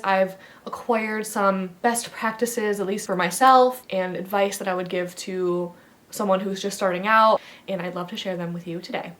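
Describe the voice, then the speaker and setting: Stuffy-nosed chatty woman vlogger. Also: mid-range voice. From American Midwest. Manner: rambly, filler-heavy, friendly advice. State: stuffy from a head cold. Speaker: fashion vlogger. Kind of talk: casual chatty vlog.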